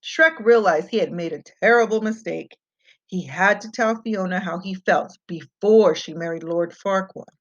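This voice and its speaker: A woman reading a children's fairy tale aloud as an expressive storyteller. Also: on the low side, normal speed. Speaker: storybook reader. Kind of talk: scripted children's read-aloud narration. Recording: muffled, dull lo-fi home recording.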